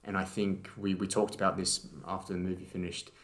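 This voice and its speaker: A man co-hosting a casual film rewatch podcast, relaxed and explaining his theories at length. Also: low-pitched, a little fast. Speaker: podcast co-host.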